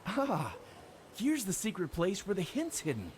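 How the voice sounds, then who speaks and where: An actor playing an earnest, adventurous hero on a quest in a scripted video game. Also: male voice, high voice, wildly swooping pitch, unhurried, a little hiss or hum, heavily acted. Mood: eager, determined. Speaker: player character.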